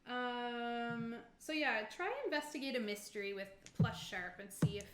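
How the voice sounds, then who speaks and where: A woman running a tabletop game as game master, describing scenes informally and haltingly, piece by piece. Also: a little high. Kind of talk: improvised tabletop game narration. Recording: roomy, echoing sound.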